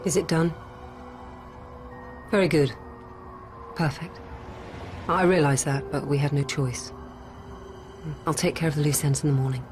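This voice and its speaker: An actress playing a calm, ruthless politician who narrates a radio drama in a measured, controlled and quietly menacing way. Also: female voice, low voice. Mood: quietly commanding. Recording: music behind the voice.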